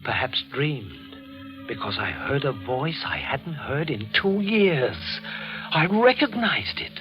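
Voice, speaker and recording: Morbid, fervent young heir for 1940s radio thriller: high, very changeable pitch, theatrical, dated muffled sound over music. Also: male voice, big pitch swings, slow. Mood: haunted, hushed. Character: intense, morbid, obsessed grieving young son.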